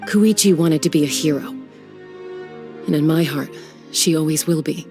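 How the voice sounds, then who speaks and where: Man acting a mourning young fighter, fairly high pitch, subdued, resolute, video game drama. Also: moderate pace, music in the background, natural voice. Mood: grieving, resolute.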